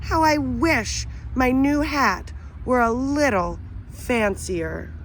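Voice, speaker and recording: A woman's voice: high voice, slow, slight background noise, rough recording. A woman voicing an eager little girl. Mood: wistful. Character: little girl wishing for a fancy hat.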